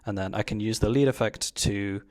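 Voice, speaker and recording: Man, fairly low voice, casual gameplay commentator. Informal, slangy, explains each play as a fellow player. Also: brisk, darkish tone. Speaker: card game player commentating his own match.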